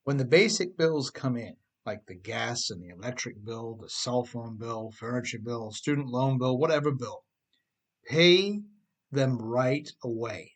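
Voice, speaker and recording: A man narrates his own audiobook at a slow, deliberate pace. His voice sits in a medium register with a husky grain. The delivery is scripted yet conversational, leaning on emphasized words and rhetorical questions. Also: mid-range voice, expressive. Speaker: author reading own book.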